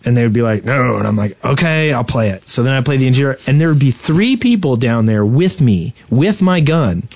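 A man's mid-pitched voice in casual podcast chat, recorded dry and close. He talks as a co-host, recounting things with exasperation and quoting people as he goes. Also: lively intonation, fairly quick, few pauses, darkish tone, dry recording.

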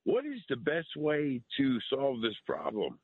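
A man's voice: mid-range voice, wildly swooping pitch, unhurried, breathy edge, dry recording. A man calling in to a radio show by phone, explaining himself to the host. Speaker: radio call-in listener. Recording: narrow dry phone line.

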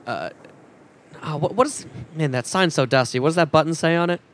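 A man's voice: on the high side, fairly quick, a bit bright. A man co-hosting a comedy podcast, playfully narrating an improvised scene with mock drama. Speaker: podcast co-host.

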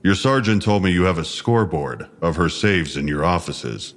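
Male detective for audio drama: very low voice, formal, clipped, drily sarcastic interviewer. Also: animated, moderate pace. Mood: formal, probing.

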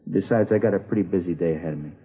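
Low-voiced reluctant middle-aged businessman man acting. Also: rapid-fire, lightly acted. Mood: reluctant, curt. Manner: curt, dismissive. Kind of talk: 1950s radio acting. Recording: thin, muffled vintage radio recording.

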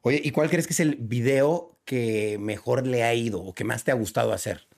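A man's voice: medium pitch, normal speed, gravelly. A young man from Mexico with a Mexican accent, hosting a casual podcast interview and affirming his guest. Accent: Mexican Spanish. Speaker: podcast host.

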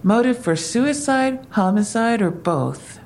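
An actress playing a wry, probing police detective in an audio drama, matter-of-fact and questioning. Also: female voice, fairly high pitch, lively intonation, slow pace, a little mellow, a little hiss or hum.

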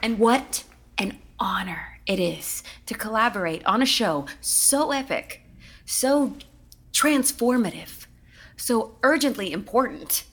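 A woman's voice: on the high side, expressive, takes it slowly, a bit bright, a little hiss or hum, exaggerated voice. An actress playing an effusive, pretentious musical director addressing her cast in a scripted comedy. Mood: preachy. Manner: effusive, theatrical, preachy. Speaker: theater director.

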